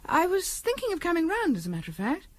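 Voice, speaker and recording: An actress playing a polite, flustered middle-class housewife in a 1970s radio drama, hesitant and stammering. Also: female voice, very high-pitched, huge pitch swings, fast, heavily acted. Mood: hurried, evasive. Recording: noisy old radio recording.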